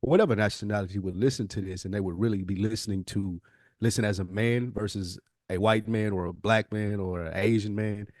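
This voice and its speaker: A man's voice: on the low side, steady tone, a little fast, a little mellow, no room sound, clean studio sound. A man co-hosting a podcast in casual conversation, with a laid-back, slangy way of talking. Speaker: podcast co-host.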